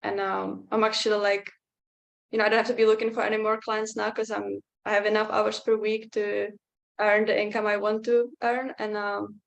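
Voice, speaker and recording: A young woman speaks in a level, even tone with little rise and fall. She talks hesitantly, leaning on filler words. The sound is that of a video call. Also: medium pitch, moderate pace, gravelly. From Czech Republic. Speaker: interview guest, client. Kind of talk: casual testimonial chat.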